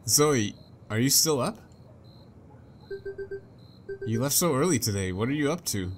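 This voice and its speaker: Cautious young female game journalist, played by a man, pleading, mid male pitch. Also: expressive, measured pace, a little hiss or hum, lightly acted. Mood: concerned. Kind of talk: reading visual novel dialogue aloud. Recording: game music behind.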